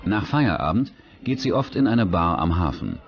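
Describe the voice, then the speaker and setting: Deep-voiced male documentary narrator. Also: sing-song, normal speed, dull audio. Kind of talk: scripted true-crime documentary narration. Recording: music bed behind the voice.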